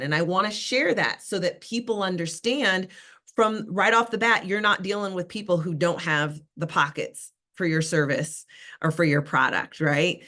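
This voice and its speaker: A woman's voice: on the low side, a bit bright. A woman sales coach leading a live online class over a video call, upbeat and encouraging, calling on participants by name.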